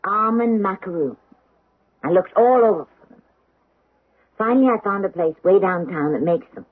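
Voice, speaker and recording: A solicitous, insistent middle-aged daughter in a seventies radio play. Her voice is husky and her delivery is coaxing, probing and intense. It sounds like a thin, phone-like vintage broadcast. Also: mid-range voice, lively intonation, moderate pace. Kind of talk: 1970s radio drama acting.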